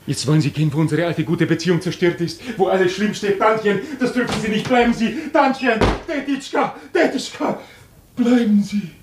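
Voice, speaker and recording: An actor in a German radio play playing a wheedling, self-pitying swindler who pleads and justifies himself theatrically. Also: male voice, sits high, brisk, slight background noise, close to an everyday voice. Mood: pleading, self-pitying.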